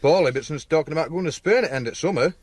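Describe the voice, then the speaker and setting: A man's voice: on the high side, very fast, darkish tone, no echo, lightly acted. A man acting in a radio drama as an eager, defensive younger brother, with a Yorkshire accent and a plaintive, colloquial way of talking. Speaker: actor.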